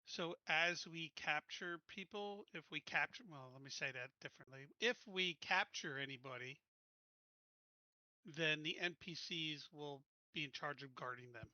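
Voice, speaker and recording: A man's voice: a little high, measured pace, sharp tone, no room sound, muffled recording. A man playing a tabletop roleplaying game, casually talking through plans and questions. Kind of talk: casual game planning talk.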